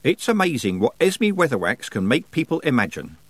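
Cheeky old country witch, male narrator acting her, bossy and folksy, raised pitch, very animated storybook delivery. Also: a little fast, no room sound. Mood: matter-of-fact. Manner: folksy, colloquial, teasing and scolding. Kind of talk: audiobook character dialogue.

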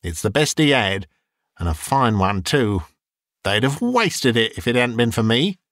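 A man voicing a coarse, greedy old charwoman who mocks the dead in brash, quick bursts. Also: mid-range voice, sing-song, fairly bright tone, no room sound, studio quality. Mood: scornful.

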